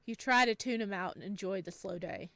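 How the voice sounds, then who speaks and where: A woman hosting a let's play, reading game text aloud and chatting to viewers with bubbly, expressive reactions. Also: mid-range voice, brisk, no room sound. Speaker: gaming video host.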